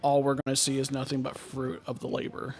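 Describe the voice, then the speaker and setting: A young man talks as a guest about mental health work. His voice stays very flat, with almost no rise and fall, and his delivery is earnest and measured. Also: mid-range voice, gravelly. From Texas.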